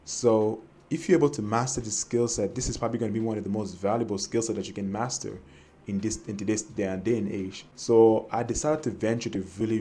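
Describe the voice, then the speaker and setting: A man's voice: fairly low pitch, mellow. A young man from Cameroon telling his personal trading story to camera, hesitant and full of fillers and restarts. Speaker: trading vlogger.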